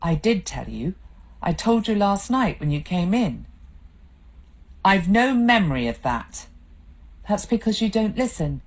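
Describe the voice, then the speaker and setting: A woman reading the part of a wife arguing with her husband, reproachful and close to tears, her voice pitched up and strained. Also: very animated, measured pace, long pauses. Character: hurt, tearful young wife in a row.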